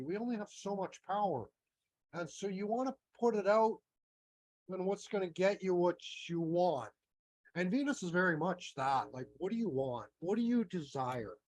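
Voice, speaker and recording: A man's voice: high-pitched, a little mellow, rough recording. A man with a Canadian accent giving a casual, meandering talk, thinking aloud with many fillers and restarts. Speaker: astrology teacher.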